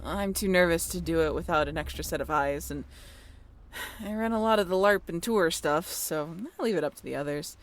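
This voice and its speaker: A woman's voice: lowish voice, a little fast, natural voice. A woman playing a friendly, handy young sailing ship crew member in an intimate audio roleplay, talking in a casual, chatty way. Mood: relaxed, modest.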